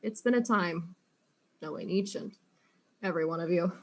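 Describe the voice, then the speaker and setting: A woman playing a brisk, wry, world-weary detective in a comedic audio drama. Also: fairly low pitch.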